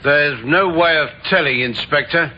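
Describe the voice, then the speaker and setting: A man's voice: a little high, unhurried. A man acting a plain-spoken character part in an old-time radio drama. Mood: matter-of-fact. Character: plain working man or matter-of-fact doctor. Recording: muffled old radio recording, faint hiss.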